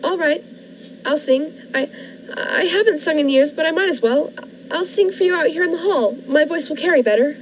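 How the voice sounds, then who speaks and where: A woman's voice: high voice, normal speed, slight background noise, lightly acted. A woman playing a frightened, unhinged woman who is nervously appeasing yet secretly cunning, in the style of a 1940s radio thriller. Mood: nervously compliant. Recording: muffled lo-fi recording with music behind.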